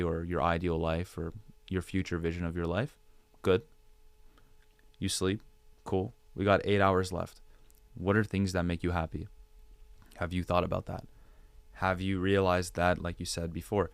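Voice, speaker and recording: Deep, clear-voiced man giving advice. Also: dry recording. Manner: rhetorical questions, repeated phrases for emphasis. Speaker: podcast guest, digital creator. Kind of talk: conversational advice on an interview podcast.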